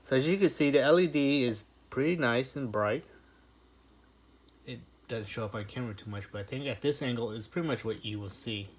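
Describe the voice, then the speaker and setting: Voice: medium pitch, a little fast. A product reviewer giving casual unboxing commentary, informal and thinking aloud. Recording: clearly noisy home recording with clicks.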